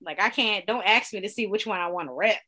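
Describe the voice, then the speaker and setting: A woman co-hosting a podcast in animated casual chat, laughing often. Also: medium pitch, fast, a little hiss or hum. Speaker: podcast co-host.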